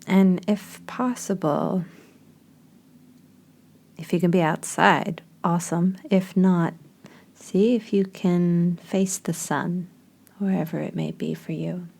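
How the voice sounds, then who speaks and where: A woman leading a guided meditation in a soft, breathy voice with gentle, unhurried, spaced phrases. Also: lowish voice, fairly even intonation.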